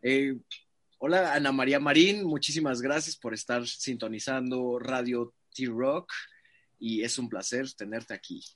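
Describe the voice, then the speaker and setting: A man's voice: medium pitch, fairly even intonation, a bit bright. A man who grew up in the United States, a guest chatting easily on a radio show and laughing often. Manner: slow, easygoing, laughs often. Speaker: guest musician. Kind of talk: radio interview chat.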